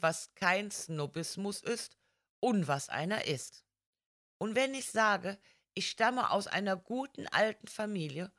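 Audiobook role: proud young woman, read by a woman, earnest and formal, lowish pitch, unhurried and deliberate. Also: fairly bright tone. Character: proud, defiant young red-haired woman confiding family secrets. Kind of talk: audiobook character reading.

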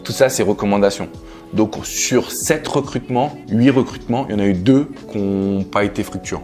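A confident man in his thirties from France speaks casually on a sofa in a bright room, his voice sitting low. He pauses often with fillers, steady and self-assured. Music plays clearly under the talk. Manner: relaxed, confident, frequent "euh" and "tu vois". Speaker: love coach and entrepreneur, guest. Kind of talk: casual interview chat.

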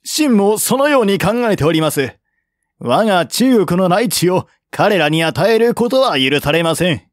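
Man voicing a deferential envoy, slow and higher-pitched. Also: sing-song, no room sound, clean studio sound. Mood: earnest, deferential. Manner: formal, deliberate, respectful. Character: deferential, reasoning male envoy reporting to his prince. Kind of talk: audiobook character reading.

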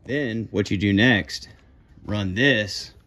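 A young man casually walking through steps of a how-to under a carport at night, speaking in relaxed, plainspoken directions to a phone camera as he moves around. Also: fairly low pitch, slow pace. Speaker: kayaker demonstrating gear. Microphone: phone camera, moving around the car.

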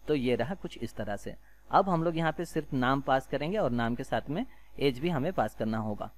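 A man's voice: mid-range voice, animated, normal speed. A man with an Indian accent giving an informal, step-by-step programming tutorial in a casual, self-deprecating way, often checking in as he goes.

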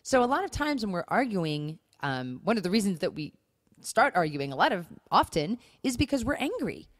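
A woman's voice: mid-range voice, sing-song, normal speed, dry recording, studio quality. A woman co-hosting a podcast, talking casually and earnestly, with emphatic points and rhetorical questions. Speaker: podcast co-host. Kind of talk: casual conversational talk on marriage and faith.

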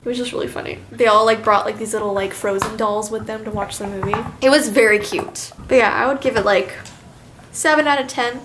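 A young woman vlogging casually in a chatty, animated storytelling way, peppering her speech with "like". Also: on the high side, fairly quick. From Canada. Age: young adult. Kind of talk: casual vlog chat. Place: moving car, restaurant booth, living room. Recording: noisy car and room ambience.

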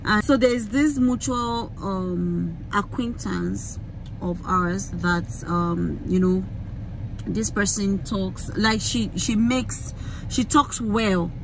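A young Nigerian woman with a Yoruba-flavoured accent, vlogging into a phone in a car, talking in an animated, emphatic way with many fillers and restarts. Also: mid-range voice, slow pace, gravelly, background noise. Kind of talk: casual rant to camera. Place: inside a parked car. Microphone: phone camera held close.

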